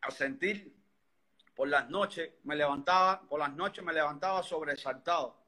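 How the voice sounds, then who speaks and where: Cuban man, 30s, high bright voice, earnest and rambling guest. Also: unhurried. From Cuba. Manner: earnest, rambling, many restarts. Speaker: interview guest. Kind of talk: personal story in conversation.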